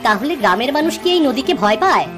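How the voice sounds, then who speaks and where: An earnest young grandson voiced by a woman speaks with eager curiosity and wonder. The delivery is that of a cartoon moral story about a city child, with clear music behind the voice. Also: a little high, big pitch swings, quick pace. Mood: curious.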